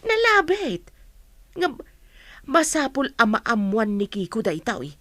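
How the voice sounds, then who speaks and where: A woman's voice: fairly high pitch, sing-song, takes it slowly, a bit bright, dry recording, full character voice. A woman playing a cautious, questioning village mother in a radio drama. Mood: concerned. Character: wary village mother advising her family. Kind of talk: Ilocano radio drama acting.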